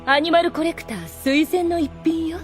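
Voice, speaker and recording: A woman's voice: very high voice, normal speed, faint music behind. A woman playing a haughty, scheming demoness in an anime audio drama, with imperious, refined feminine phrasing. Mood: scheming, dramatic. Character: haughty, scheming, obsessively devoted demoness overseer.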